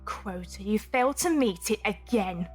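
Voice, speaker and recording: A woman's voice: mid-range voice, lively intonation, normal speed, soft background music, natural voice. A woman playing a cold, punitive factory forewoman with a strict, formal, clipped manner in an audio drama. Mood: cold authority.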